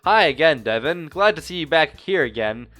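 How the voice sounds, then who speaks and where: A man's voice: a little high, expressive, normal speed, fairly bright tone, voice pushed up. A man voicing a confident, gracious teenage girl club president in a visual novel read-aloud. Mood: welcoming. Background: music.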